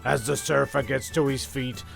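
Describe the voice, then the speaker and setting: A man playing a wry storyteller, reading scripted comic narration in a deadpan manner. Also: mid-range voice, normal speed, gravelly, faint music behind.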